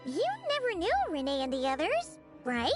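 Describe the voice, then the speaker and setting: An actress voicing a tiny, chirpy and curious female companion creature in a video game, with a very high, squeaky, bouncy voice. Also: big pitch swings, normal speed. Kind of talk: game voice acting. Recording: game audio with clear music behind.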